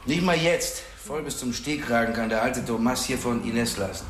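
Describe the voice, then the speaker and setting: An actor playing a cocky, provocative, self-assured police boxer in a crime radio drama. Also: male voice, medium pitch, normal speed, lightly acted. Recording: echoey room, clear background noise.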